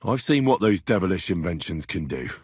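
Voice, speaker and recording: Young, blunt medieval adventurer, husky and expressive. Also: male voice, low voice, a little fast, no echo, studio quality, exaggerated voice. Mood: earnest, conversational. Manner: earnest, blunt, exasperated, conversational. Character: young, plain-spoken medieval adventurer, wry and impatient. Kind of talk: video game dialogue acting.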